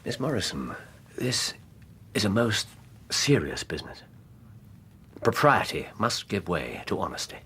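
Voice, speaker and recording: An actor playing a brisk, imperious Victorian detective with an English accent, articulate and formal in a British radio drama. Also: male voice, sits low, sing-song, bright, forceful tone, breathy edge, exaggerated voice. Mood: grave, pressing. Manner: articulate, probing, formal phrasing.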